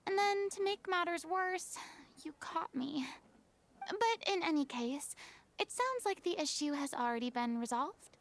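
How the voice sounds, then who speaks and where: A young former idol from a video game, proud but polite. The voice sits high, with a noticeable creak at the edges. Every phrase is refined, careful and a touch theatrical. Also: female voice, expressive, measured pace, full character voice. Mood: sheepish, apologetic. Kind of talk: English video game dub acting.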